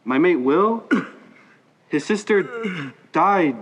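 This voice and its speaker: A man's voice: high-pitched, lively intonation, extremely slow, exaggerated voice. A teenage male student actor playing a chatty working-class hospital porter in a stage play. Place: high school theater stage.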